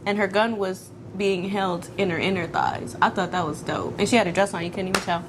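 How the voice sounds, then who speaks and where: A woman co-hosting a casual chat podcast, animated and chatty, calling listeners girl and ladies. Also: mid-range voice, brisk, noisy background. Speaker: podcast co-host.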